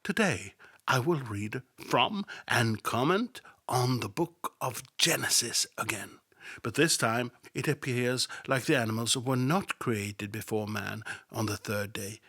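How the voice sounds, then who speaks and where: A man's voice: mid-range voice, pushed and bright, slightly rough, no room sound, clean studio sound. A man hosting a satirical Bible commentary podcast, sardonic and mocking, sometimes dropping to a whisper for asides. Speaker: podcast host.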